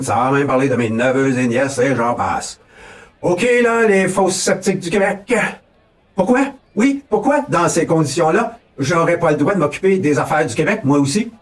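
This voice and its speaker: A Québécois man delivers a tongue-in-cheek campaign address in a fairly high voice that swoops up and down a lot. He sounds folksy and mock-solemn, and he keeps tossing in a rising "ok, là?" while speaking from some distance off the mic. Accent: Québécois French. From Quebec. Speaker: satirical mock candidate. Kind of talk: comic political address.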